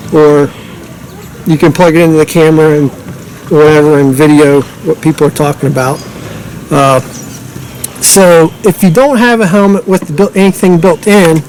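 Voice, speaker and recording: A man's voice: on the high side, a little mellow, a little hiss or hum, rough recording. A man giving a casual, unscripted product demonstration, explaining loosely and informally with trailing sentences.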